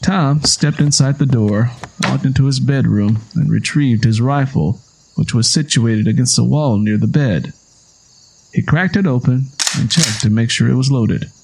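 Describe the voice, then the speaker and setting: A man's voice: mid-range voice, a little slow, creaky, background noise. A man reading scripted fiction as the narrator of an audio story. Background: music.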